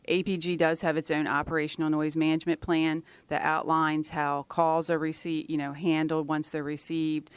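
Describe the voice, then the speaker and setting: A woman's voice: low-pitched, level tone, moderate pace, hardly any pauses, clean tone, no room sound. A woman answering interview questions as an environmental scientist, in a matter-of-fact way with short, clipped phrases.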